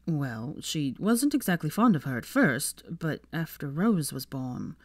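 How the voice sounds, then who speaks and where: A gentle young man from a wizarding storybook, acted by a woman. He is earnest and a little hesitant, with lively intonation in a dry recording. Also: low voice, measured pace, dry recording, full character voice. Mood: awkward. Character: gentle, awkward, apologetic young male wizard.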